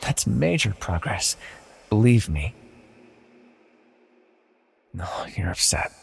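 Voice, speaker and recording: A husky, low-voiced immortal villain , acted out by a man, speaks in long, hall-like reverb. He is soft and theatrically formal, talking down to his listener with possessive fondness, in the manner of an ASMR fantasy roleplay. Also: full character voice. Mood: teasing, condescending. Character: immortal banished villain sorcerer, male, condescending, possessively tender.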